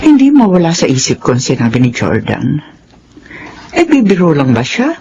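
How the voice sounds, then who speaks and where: A woman's voice: low voice, wildly swooping pitch, moderate pace, gravelly, dark tone, highs cut off, slight background noise, exaggerated voice. An actress playing a strict, worried middle-aged mother in a Philippine radio drama, brooding and suspicious.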